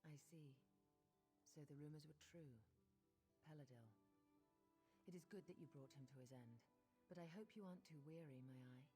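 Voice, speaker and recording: A woman's voice: sits low, music in the background, lightly acted. An actress playing a composed, gracious elven queen in a fantasy video game, formal and solemn. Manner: formal, measured, regal.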